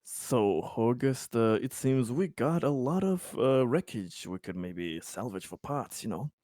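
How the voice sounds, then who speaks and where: A man's voice: medium pitch, lively intonation, unhurried, warm tone, dry recording. Man game player, close dry sound. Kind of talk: casual table talk.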